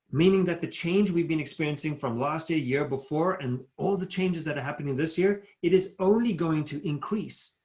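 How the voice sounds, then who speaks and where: Man, middle-aged, motivational speaker, emphatic, builds ideas in lists, video call audio. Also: a little high. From South Africa. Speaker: guest speaker. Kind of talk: motivational talk at a virtual town hall.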